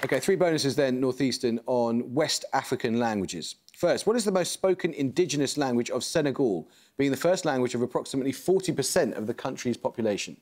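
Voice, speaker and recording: Crisp quizmaster with lively intonation. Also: male voice, mid-range voice, a faint crowd, slight background noise. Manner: crisp, measured delivery with dry asides. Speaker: quiz show host. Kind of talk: formal quizmaster reading questions and introductions. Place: large TV studio set, seated at a desk.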